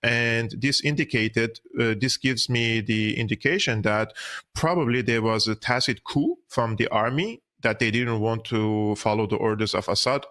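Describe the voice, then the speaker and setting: An earnest man from Syria in his thirties, talking through events with measured seriousness. His voice is bright and forward. He speaks into a studio mic in a dark home studio, often pausing on "uh" between thoughts. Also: mid-range voice. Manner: earnest, measured, with frequent uh fillers. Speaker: political analyst guest. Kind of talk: remote interview commentary. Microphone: studio mic on arm, headphones.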